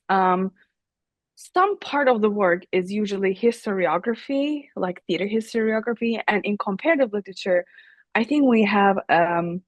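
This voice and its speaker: A woman's voice: medium pitch, no room sound. Turkish-born woman professor, dry studio sound. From Turkey. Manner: thoughtful, many fillers and restarts. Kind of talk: academic interview.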